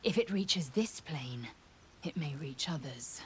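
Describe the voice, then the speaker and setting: A zealous alien warrior woman, grave and stern. Her voice is on the low side and her words come clipped and formal, as game dialogue over faint ambience. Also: lively intonation, slow pace, a little hiss or hum. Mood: grave, intent. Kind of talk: video game character dialogue.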